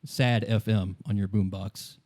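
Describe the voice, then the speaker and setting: A man narrating a tabletop game in the second person as game master, improvising in a halting way and repeating phrases. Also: fairly low pitch, steady tone, darkish tone.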